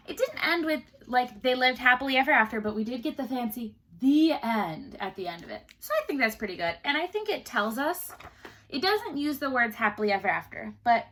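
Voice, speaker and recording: A woman's voice: a little high, bright, forceful tone, a little hiss or hum. A woman teacher reading a story aloud to children, expressively, with chatty questions to the listeners.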